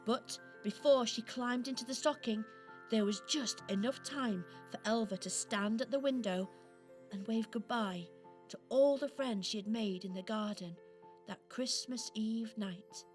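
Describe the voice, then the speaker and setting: Slow, high-voiced woman storyteller. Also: soft background music. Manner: dramatic pauses, expressive storytelling. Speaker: narrator. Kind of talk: scripted children's storytelling for radio play.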